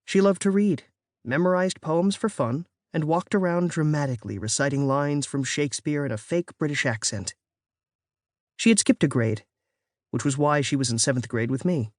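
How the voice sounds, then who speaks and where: A narrator reading a children's novel in an expressive, first-person storytelling way. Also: mid-range voice, normal speed, no room sound, clean studio sound. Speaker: audiobook narrator. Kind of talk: scripted audiobook narration.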